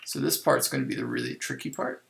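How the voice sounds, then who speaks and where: Very creaky-voiced man giving a tutorial. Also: rumbling low, huge pitch swings, fast, fairly bright tone. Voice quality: creaky, gravelly. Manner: informal, improvised, thinking aloud while working. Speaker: software tutorial instructor. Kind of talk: casual screen-recorded tutorial, explaining step by step.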